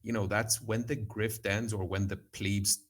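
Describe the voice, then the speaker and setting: Brief: man from Cyprus, fairly low voice, a rambling trader guest who keeps restarting his sentences. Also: level tone, unhurried. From Cyprus/Greece. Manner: rambling, many fillers and restarts. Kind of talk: casual podcast chat.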